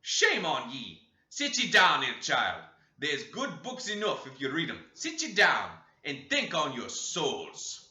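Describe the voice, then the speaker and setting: A man doing a croaking, preachy old servant. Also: fairly high pitch, huge pitch swings, slow, bright, forceful tone, exaggerated voice. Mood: scolding. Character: harsh old male servant, preachy, scolding. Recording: roomy dull lo-fi recording.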